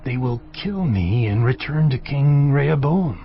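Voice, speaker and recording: A man's voice: mid-range voice, expressive, measured pace, lo-fi sound, soft background music. An actor playing an anxious, commanding king of ancient Israel, emphatic and theatrical, in a dramatised audio Bible. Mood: anxious scheming.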